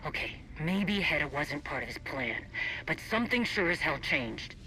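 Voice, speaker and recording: An actor playing a brash, distrustful warrior leader in a video game, blunt, scornful and impatient. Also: male voice, fairly high pitch, sing-song, moderate pace, pushed and bright, slight background noise, exaggerated voice, rough recording. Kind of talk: dramatic video game acting.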